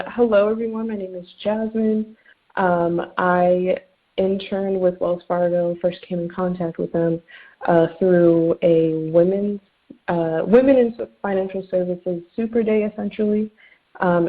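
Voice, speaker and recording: A young woman in her twenties introducing herself on a webinar panel, chatty with lots of ums. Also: mid-range voice. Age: 20s. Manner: chatty with many fillers. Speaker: webinar panelist, underwriting analyst. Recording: tinny phone-like line.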